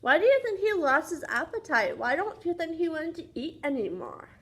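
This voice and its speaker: Female children's read-aloud host: high voice, lively swoops, unhurried, sing-song, interactive questioning.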